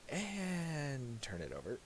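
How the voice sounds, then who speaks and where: A man narrating a casual screen-recorded software tutorial, halting and thinking aloud as he goes. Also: medium pitch, huge pitch swings. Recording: hissy home recording with clicks.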